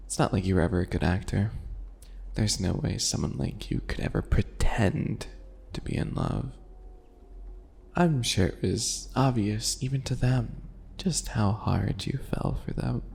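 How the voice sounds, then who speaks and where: A man voicing a smug, teasing, obsessive bunny alchemist in an ASMR roleplay, taunting in a mocking, drawn-out, breathy way. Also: on the low side, animated, darkish tone, full character voice.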